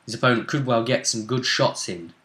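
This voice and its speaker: A man's voice: medium pitch, brisk, sharp tone. A man hosting a tabletop role-play show, previewing match-ups in a casual, speculative commentary, recorded with a roomy echo.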